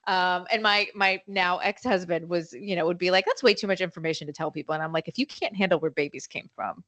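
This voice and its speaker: A woman in her forties, telling her story as a podcast guest in a chatty, animated way. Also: on the low side, quick pace, dry recording. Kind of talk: casual storytelling interview.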